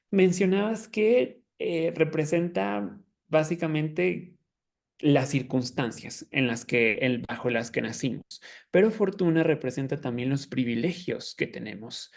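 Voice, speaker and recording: A man speaking as a guest expert, explaining in a conversational way, haltingly, repeating words as he searches for the next one. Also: on the high side. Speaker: guest astrologer.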